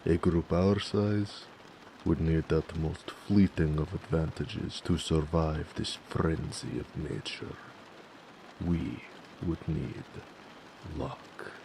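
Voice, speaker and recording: Deep, slow-talking gentle orc blacksmith, acted by a man. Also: vocal fry, a little hiss or hum, full character voice, poor audio. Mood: brooding, narrating. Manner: unhurried, tender, murmuring, musing. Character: gentle, affectionate male orc blacksmith, romantic and soft-spoken. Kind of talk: intimate romantic audio roleplay.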